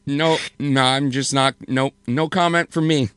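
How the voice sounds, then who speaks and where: A man with a hoarse voice co-hosting a casual podcast, rambling and correcting himself as he goes. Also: medium pitch, fairly even intonation, a little slow, fairly bright tone, no echo, clean studio sound. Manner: rambling, self-correcting, apologetic. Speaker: podcast co-host.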